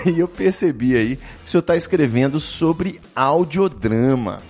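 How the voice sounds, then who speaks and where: A man's voice: a little high, expressive, unhurried, a little mellow, soft background music. A man with a Brazilian accent hosting a podcast in a casual, chatty, joking way. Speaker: podcast host.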